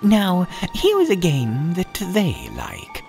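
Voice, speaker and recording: A man voicing a sly, sinister cat in a storybook, with an unhurried, drawn-out, lilting delivery. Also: high voice, huge pitch swings, darkish tone, soft background music, voice pushed up, exaggerated voice. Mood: sly, gleeful. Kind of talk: storybook read-aloud.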